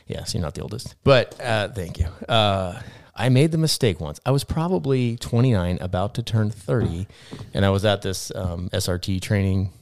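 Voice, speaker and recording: A man's voice: deep voice, gravelly, a little mellow, no echo, studio quality. A man in his forties chatting casually on a podcast, blunt and joking, with a loose swearing manner. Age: 40s. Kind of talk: casual chat.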